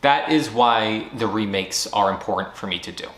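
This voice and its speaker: A man's voice: on the low side, normal speed, a bit bright. A man who founded a studio gives a firm, earnest year-end recap, speaking directly to fans. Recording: roomy echo.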